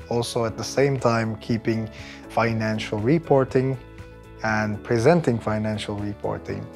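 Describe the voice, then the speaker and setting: A man's voice: on the low side, creaky, darkish tone. Turkish-accented man over background music. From Turkey. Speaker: employee interviewee, technical project manager. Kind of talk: conversational testimonial interview. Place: bright office room indoors, by large windows. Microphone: lapel mic.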